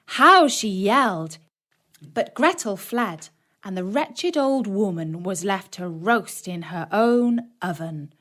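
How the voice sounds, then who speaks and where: A woman narrating a scripted children's story in a dramatic, deliberate storytelling manner. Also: mid-range voice. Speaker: audiobook narrator.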